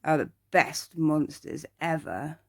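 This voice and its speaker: An earnest little boy who adores scary monsters, as a woman reads him in a bedtime story. He speaks slowly and solemnly, like someone who knows all about it, with a creaky edge and a close, dry sound. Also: low voice, lively intonation, a little mellow, no echo, heavily acted. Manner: solemn, matter-of-fact, knowing. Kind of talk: ASMR bedtime story character.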